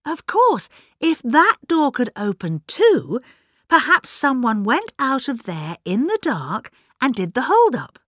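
A fluttery older lady in a storybook, performed by a woman narrator, pitched high and swooping all over. She rambles breathlessly and apologises as she dithers. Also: huge pitch swings, slow, fairly bright tone, no room sound, clean studio sound, heavily acted. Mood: muddled, wondering. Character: flustered, chattering, apologetic older woman companion.

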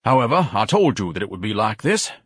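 A pompous, melodramatic grown son from an audiobook, acted out by a man. He declaims haughtily in a husky voice that swings widely in pitch, dry and close. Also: very animated, fairly quick, dry recording. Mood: disdainful. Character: pompous, indignant, self-pitying grown son, grandiose and melodramatic. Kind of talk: audiobook character voice.

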